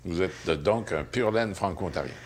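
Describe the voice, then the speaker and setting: A man's voice: deep voice, normal speed, slight background noise. A middle-aged man hosting a community television interview, asking questions in a formal, polite way. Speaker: TV interview host. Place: living room at home.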